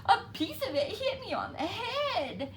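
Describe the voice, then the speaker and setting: A woman's voice: extremely high pitch, big pitch swings, unhurried, slight background noise, voice pushed up, full character voice. A woman voicing a frantic, alarmed little female chicken from a storybook, wailing and shrieking. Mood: panicked.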